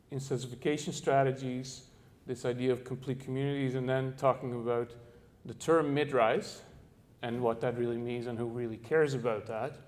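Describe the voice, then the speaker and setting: This is a middle-aged man from the Netherlands giving a talk on architecture to an audience. His voice sits in an ordinary middle pitch. He halts often, leaning on fillers and restarting his phrases while he searches for the right words. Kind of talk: presentation to an audience.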